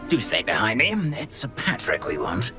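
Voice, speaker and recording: An actor playing a polite, eager English gentleman ghost in a video game, with a British accent and a courtly, hesitant manner. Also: on the low side, wildly swooping pitch, normal speed, music in the background. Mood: eager, fussy. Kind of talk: video game character acting.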